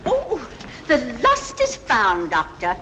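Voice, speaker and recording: An actress playing a jolly, bossy, outdoorsy woman with a brisk, booming manner in 1940s film style. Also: wildly swooping pitch, full character voice. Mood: brisk, triumphant. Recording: old 1940s film soundtrack, faint noise.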